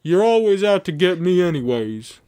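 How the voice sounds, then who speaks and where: A man's voice: high voice, sing-song, normal speed, heavy background noise. Man playing a brash, mocking boy bully. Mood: taunting. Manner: mocking, crude, argumentative. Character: brash, foul-mouthed, heavyset boy bully, taunting his friends. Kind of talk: comedic post-apocalyptic radio play acting.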